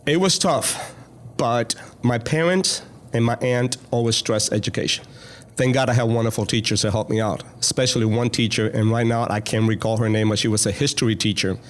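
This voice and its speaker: A man's voice: mid-range voice, steady tone, fairly quick. A man in his sixties, from Cuba with Spanish as his first language, plainly and earnestly recounting his life story as a school board president in an interview.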